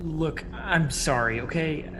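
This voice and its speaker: A man's voice: on the high side, slow pace. A man playing a wary, defiant young hero in a fan audio drama, speaking in a guarded, clipped, urgent way. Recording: long cavernous reverb over noisy ambience.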